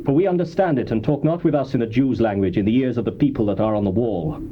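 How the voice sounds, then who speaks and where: An actor with a British accent playing an anxious, courteous royal official, pleading in a hushed, deferential biblical drama style. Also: male voice, mid-range voice, quick pace, natural voice. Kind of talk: theatrical dramatized scripture reading. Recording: muffled old tape with faint hiss.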